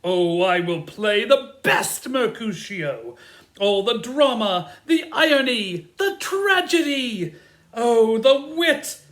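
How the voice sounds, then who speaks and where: A woman's voice: on the high side, very animated, slow pace. A woman playing a vain, eager show-off amateur actor, gushing and overdramatic in a comic audio drama. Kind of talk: theatrical comedy audio drama.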